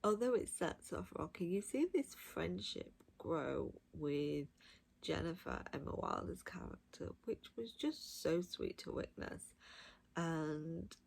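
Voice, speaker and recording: A woman giving a casual, unscripted review of audiobooks, gushing and emphatic, speaking in short, halting phrases. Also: sits low, big pitch swings, gravelly, darkish tone, breathy edge, poor audio.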